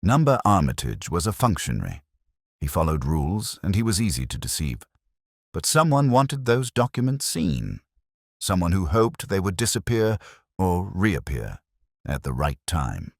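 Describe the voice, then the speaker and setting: An intense, analytical gentleman detective played by a male narrator speaks in a very deep voice. His delivery is deliberate and declamatory, with wide pitch movement. It is a brooding storybook figure, recorded dry. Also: sing-song, vocal fry, no room sound, full character voice. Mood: grave, insistent.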